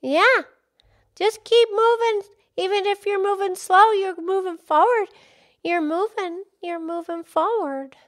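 A woman voicing an excitable, childlike pig puppet with an eager, chatty, exclamatory manner in a children's puppet show. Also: very high-pitched, unhurried. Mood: cheerful, eager. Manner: eager, exclamatory, repeats phrases.

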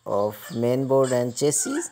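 A man giving step-by-step hands-on repair instructions in a halting, repetitive way. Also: mid-range voice, wildly swooping pitch, dark tone, slight background noise. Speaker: repair tutorial presenter.

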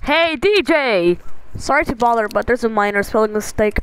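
High-voiced excitable woman, noisy recording. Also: normal speed. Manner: excitable, exclamatory, sweary. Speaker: amateur voice-over performer dubbing animated shorts. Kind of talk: improvised cartoon fan dub. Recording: noisy home recording with clicks.

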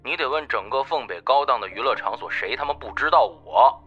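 A man's voice: medium pitch, lively intonation, fairly quick, fairly bright tone, breathy edge, soft background music. A man playing an arrogant, curt young rich man heard through a telephone filter. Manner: curt, dismissive. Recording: dull filtered phone line.

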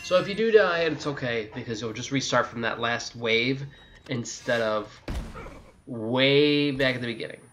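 A man's voice: mid-range voice, moderate pace, fairly bright tone, a little hiss or hum. A man giving a casual, sweary, exasperated video game walkthrough commentary.

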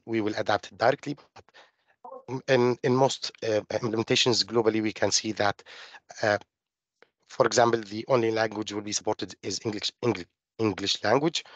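A middle-aged man from Jordan, giving a technical webinar presentation over a video call, halting with many fillers and restarts. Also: fairly low pitch, dry recording. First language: Arabic. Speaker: webinar presenter on open finance.

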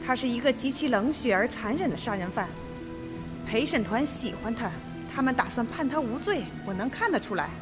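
An actress in a radio drama playing a composed, stern female judge who speaks with measured authority. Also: on the high side, animated, music in the background, natural voice. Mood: cold, firm. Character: composed, stern older female judge, cold and self-assured.

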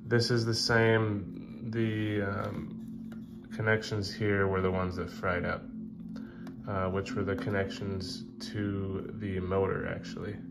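A man with a Southern American accent talking through a how-to at a workshop bench, relaxed and full of ums and uhs. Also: fairly low pitch, slow pace, vocal fry, background noise. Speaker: fishing channel host. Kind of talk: casual how-to walkthrough. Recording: loud guitar music behind.

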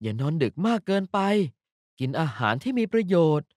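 A woman voicing a cute, energetic, pouty young girl mascot for a card game, playful and emphatic in an anime-like style. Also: lowish voice, fairly quick, dry recording, clean studio sound. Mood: gentle, caring. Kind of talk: character voice pack lines, anime-like acting.

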